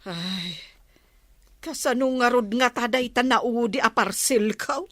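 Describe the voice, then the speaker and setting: A gullible, exasperated wife with an Ilocano accent in a radio comedy. Her voice is fairly high and unhurried, whiny and full of exclamations, recorded dry and close. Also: a bit bright, dry recording. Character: barangay captain's wife, gullible online shopper, exasperated. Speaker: radio drama actress.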